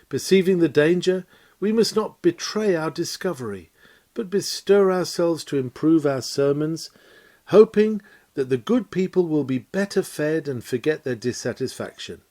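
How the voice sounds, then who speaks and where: Mellow man reading with lively, swooping intonation. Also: mid-range voice. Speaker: reader of a historic sermon lecture. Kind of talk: scripted narration, reading a lecture aloud.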